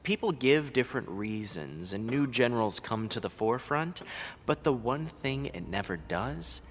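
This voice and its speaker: A man's voice: mid-range voice, animated, moderate pace, slight background noise, natural voice. A man playing a smug, taunting vampire villain in an audio drama, with a mocking, theatrical drawl. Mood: silky menace.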